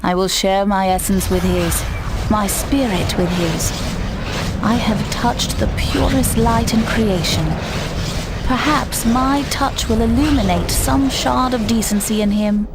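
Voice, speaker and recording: A woman's voice: mid-range voice, fairly even intonation, heavy background noise, lightly acted. A woman voicing a grave, wise mystical guide in a video game, speaking formally and portentously. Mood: solemn resolve. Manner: formal, portentous, measured phrasing. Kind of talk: dramatic video game cutscene acting.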